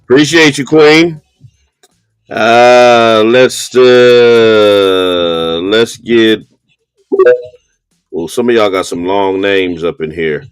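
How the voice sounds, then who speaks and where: A man's voice: fairly low pitch, drawn-out words, pauses often, clean tone, no room sound. A man hosting a live call-in discussion in a casual, colloquial way. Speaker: host of a live audio discussion.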